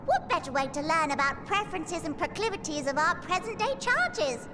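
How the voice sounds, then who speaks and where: Very high, chirpy little rabbit creature. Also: female voice, lively intonation, normal speed, music in the background. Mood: proud, cheerful. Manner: theatrical, wordy, archaic phrasing. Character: chirpy, long-winded, self-important little rabbit-like moon keeper. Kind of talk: scripted video game dialogue.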